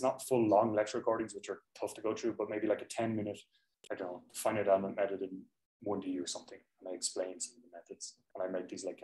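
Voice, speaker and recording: A young lecturer, a man with a somewhat low voice, chats his way through course details, correcting himself often and explaining with examples. The sound comes from a laptop mic with lots of echo from the hall. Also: a little mellow. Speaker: university lecturer. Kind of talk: informal classroom lecture. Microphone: laptop mic on the desk.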